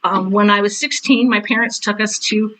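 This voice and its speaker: Woman, 60s, podcast guest: bright, forceful voice, mid pitch, chatty and emphatic storyteller. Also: fairly even intonation, normal speed, a little hiss or hum, poor audio. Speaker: interview guest, retired theater teacher and director. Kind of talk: casual conversational storytelling.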